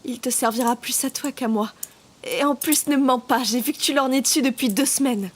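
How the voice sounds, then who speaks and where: Woman acting high-voiced, tough young combat mentor. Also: fairly quick. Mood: affectionate. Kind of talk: audio drama acting.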